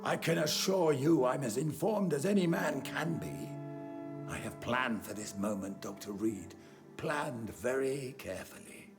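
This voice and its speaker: An actor playing a dying, rich and ruthless old British industrialist in a video game, with a pompous, theatrical, formal delivery. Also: male voice, mid-range voice, expressive, measured pace, music in the background. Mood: imperious, weary. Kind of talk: video game dialogue acting.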